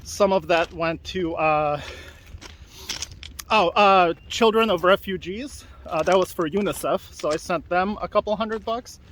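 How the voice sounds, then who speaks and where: Upbeat rambling man, high voice. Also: unhurried, a bit bright, slight background noise. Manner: rambling, upbeat, laughs often, many fillers. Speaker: video podcast host. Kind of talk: casual walking vlog. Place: outdoors by railway tracks.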